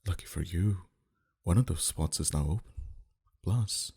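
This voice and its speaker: A man playing a smug, flirtatious and menacing mafia boss in an intimate ASMR roleplay, dominant and teasing, sometimes dropping to a breathy whisper. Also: unhurried, full character voice. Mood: flirtatious, coaxing. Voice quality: very deep, dark, husky.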